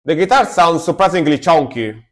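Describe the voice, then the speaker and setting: A man's voice: on the high side, lively intonation, normal speed, a bit bright. Chatty man reacting to metal songs. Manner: chatty, loose, hums and mimics riffs. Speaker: music reaction streamer. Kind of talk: casual reaction commentary.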